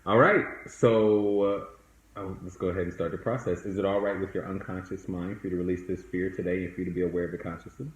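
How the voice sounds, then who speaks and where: A man's voice: low voice, fairly even intonation, quick pace, highs cut off. A man coaching conversationally as a podcast host, giving step-by-step guidance in an encouraging, affirming way. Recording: echoey room.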